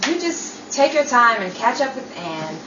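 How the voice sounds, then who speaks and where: Woman acting a high-pitched, sarcastic jealous 1930s wife. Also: sing-song, a little slow, lo-fi sound. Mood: hurt, downcast. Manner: sarcastic, theatrical. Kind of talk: old-time radio comedy-drama acting. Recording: roomy, distant, faint background noise.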